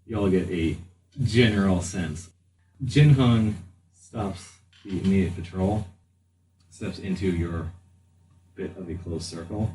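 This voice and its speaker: A man working as game master, narrating a tabletop game in a halting, improvised way. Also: low-pitched, recorded from a distance. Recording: echoey room, off mic, background noise.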